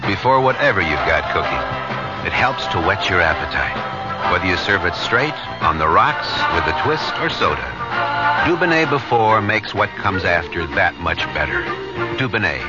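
A man's voice: sits low, lively intonation, normal speed. A man delivering a scripted 1970s radio commercial as an announcer. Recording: dull radio recording, loud music behind.

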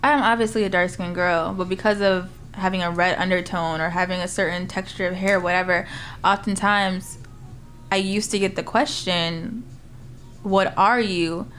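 A woman's voice: on the low side, steady tone, normal speed, fairly bright tone. A woman guest on a casual podcast, speaking earnestly and backing up points with emphatic agreement. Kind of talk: casual chat.

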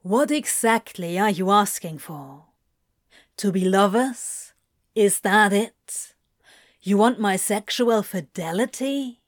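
A woman's voice: mid-range voice, unhurried, few pauses, dry recording, studio quality, close to an everyday voice. A woman voicing a sardonic male demon with a pointed, incredulous manner in an audiobook-style story. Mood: sneering.

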